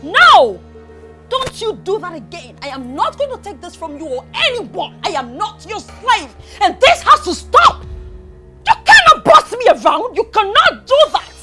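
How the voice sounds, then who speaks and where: High-pitched, defiant young princess. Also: female voice, very animated, normal speed, hardly any pauses, loud music behind the voice. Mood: furious. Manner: confrontational, emphatic, repeats herself. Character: defiant, hot-tempered young princess, a royal daughter-in-law. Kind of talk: melodramatic film acting.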